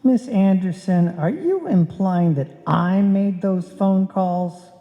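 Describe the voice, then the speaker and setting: A woman's voice: medium pitch, expressive, takes it slowly, warm tone. A woman playing a dry, scheming, long-suffering husband in an old-time radio comedy. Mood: mock offended. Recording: muffled, reverberant hall.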